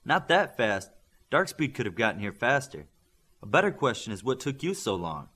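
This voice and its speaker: A stern male trainer acted by an audiobook narrator issues brisk orders to a team. The voice is husky and commanding, with emphatic swings in pitch. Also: big pitch swings, bright, forceful tone, heavily acted. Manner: brisk, giving clipped orders.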